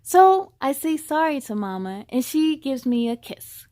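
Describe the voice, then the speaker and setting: Storybook young girl narrator voiced by a woman: high, deliberate, earnest, learning to keep calm, closely recorded and dry. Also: no echo. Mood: earnest, tender. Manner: slow, deliberate, expressive storybook reading. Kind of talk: children's read-aloud in first person.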